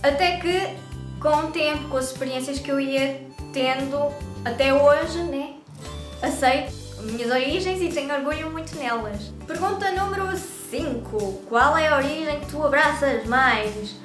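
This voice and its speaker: A woman's voice: sits high, measured pace, echoey room, music in the background. A young woman with a European Portuguese accent, talking casually to camera as a vlogger. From Brazil. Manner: chatty and talkative. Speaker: YouTube vlogger.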